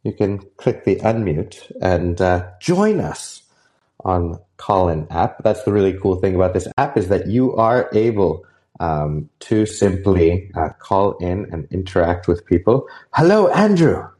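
Low-voiced young man hosting, halting speech. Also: very animated, mellow. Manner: halting, heavy with fillers and restarts. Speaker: podcast host. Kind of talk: casual interview chat.

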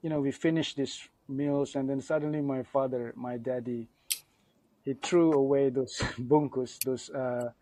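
A man with an Indonesian accent speaks as a guest on a podcast, telling a story from his childhood. His voice is mellow and sits in the middle range, and the sound is close and dry. He talks in a friendly, chatty way with plenty of fillers. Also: medium pitch, fairly even intonation, unhurried, dry recording, poor audio. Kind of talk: casual storytelling.

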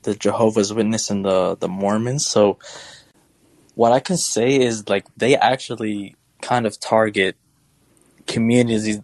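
A man's voice: on the low side, fairly even intonation, a little slow, darkish tone, dry recording. A young man calling in to a podcast, telling a rambling story and asking questions in a chatty, casual way. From United States. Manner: rambling, chatty, laughs while telling stories. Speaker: caller.

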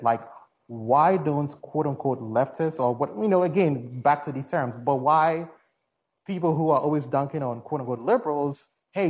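A man from Ghana with a Ghanaian accent, calling in and chatting casually, rambling with many fillers and restarts. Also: on the high side. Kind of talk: casual call-in chat. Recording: dull lo-fi line.

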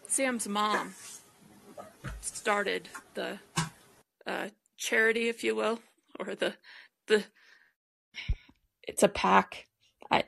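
Female podcast host, political talk, mid-pitched voice with noticeable vocal fry.

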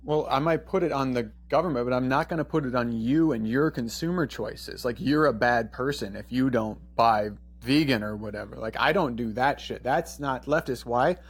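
A man's voice: medium pitch, moderate pace, hardly any pauses, breathy edge. A man in a podcast debate, arguing politics in a blunt, combative way and swearing freely.

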